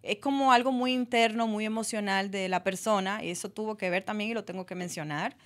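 Dominican actress in her thirties, lively guest chat into a studio mic. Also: medium pitch. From Dominican Republic. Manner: lively, quick to laugh, acts out quotes. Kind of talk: casual radio interview. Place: radio studio. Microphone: studio mic on arm.

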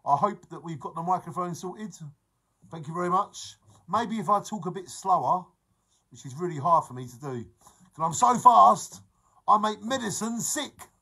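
A man in his forties livestreaming to viewers, talking fast and excitably and breaking into song, filmed on a phone held close in a room. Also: high voice, husky. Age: 40s. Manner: excitable, sings snatches and repeats phrases. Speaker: vlogger. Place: indoor room beside a fish tank. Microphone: phone held close at arm's length.

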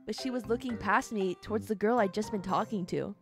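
A woman's voice: mid-range voice, brisk. A tired woman hosting a livestream, chatting casually and reading a visual novel aloud, pleading and exasperated. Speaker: streamer host. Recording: music playing behind the voice.

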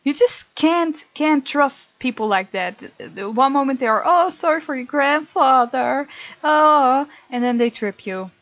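A woman reads a visual novel aloud as a let's play host, dropping in casual remarks. Her voice sits fairly high and her manner is playful and expressive. The close-mic sound is dry and a little dull. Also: a little slow, no room sound. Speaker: gaming video host. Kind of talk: let's play, reading game text aloud with casual asides.

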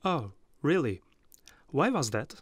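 A man's voice: mid-range voice, big pitch swings, takes it slowly, slightly rough, dry recording, studio quality. A man with a Turkish accent presenting and interviewing as a radio host from a script.

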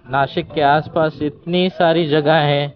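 A man's voice: a little high, fairly even intonation, measured pace. A man with an Indian accent giving casual, informal vlog commentary as a motovlogger, mixing two languages as he goes. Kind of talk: casual vlog commentary in mixed Hindi and English. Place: outdoors on rural trails and roads, while riding a scooter. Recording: slightly dull outdoor recording with vehicle noise.

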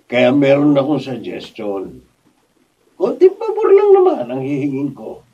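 A man's voice: medium pitch, huge pitch swings, a little slow, dark tone, slightly rough, heavily acted. An older man with a Filipino accent playing a shameless, tight-fisted father in a radio drama. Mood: wheedling, excusing. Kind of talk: Tagalog radio drama acting. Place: radio drama recording studio, distant camera. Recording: muffled, picked up from a distance.

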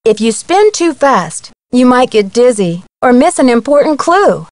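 A woman's voice: fairly high pitch, very animated, measured pace, a little mellow, dry recording, clean studio sound. A woman playing a young, confident amateur detective, giving friendly scripted tutorial instructions in a video game. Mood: chipper, instructive. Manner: friendly, clear instructional delivery.

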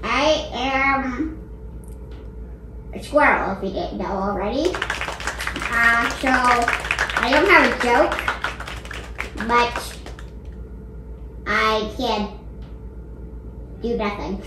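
A female performer voicing a silly, awkward male squirrel puppet, halting and giggly, in a homemade comedy show. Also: fairly high pitch, distant mic. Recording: echoey room with loud noise.

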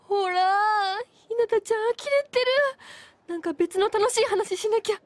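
Very high-pitched, lovestruck anime schoolgirl. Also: fairly even intonation, normal speed, no echo. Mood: self-reproaching. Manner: overexcited, dramatic, rambling in self-reproach. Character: flustered, lovestruck high school girl, dramatic and anxious. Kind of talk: anime comedy inner monologue.

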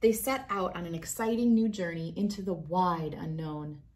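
A woman's voice: on the low side, normal speed, darkish tone. Woman reading a storybook aloud expressively. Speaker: host reading a picture book aloud. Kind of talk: storybook read-aloud for children.

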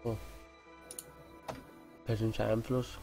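Guy casually chatting during a strategy game, mumbles menu stuff, quiet music behind. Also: fairly low pitch, level tone, slow pace, gravelly. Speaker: let's play co-host. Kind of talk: casual gaming chat.

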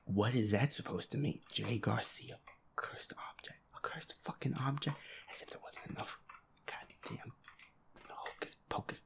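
Whispering, grumbling man with a creaky voice. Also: medium pitch, a little slow. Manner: feisty, grumbling, swears constantly. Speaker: gamer playing a horror game as audio roleplay character. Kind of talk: hushed gaming commentary, muttering to self.

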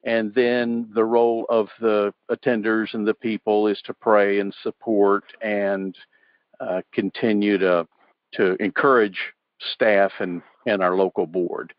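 Church radio host asking plain questions, lowish voice, muffled lo-fi sound. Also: male voice, level tone, measured pace, dry recording. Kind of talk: radio interview. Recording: dull lo-fi sound.

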